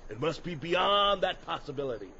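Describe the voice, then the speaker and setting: A man acts out a fervent escaped slave making a radio drama speech. The voice sits fairly high and rises and falls dramatically, each phrase weighed and emphatic. The recording is slightly muffled, with faint noise. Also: big pitch swings, creaky, pushed and bright, heavily acted. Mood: fervent, solemn. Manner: oratorical, deliberate, emphatic. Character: fervent escaped slave orator rousing a crowd. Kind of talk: audio drama acting.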